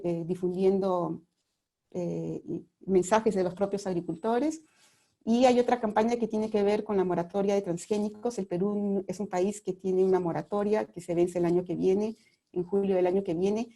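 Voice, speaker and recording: Woman presenter, warm dark tone, earnest appeals, video call. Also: mid-range voice. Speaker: guest speaker. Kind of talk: webinar presentation.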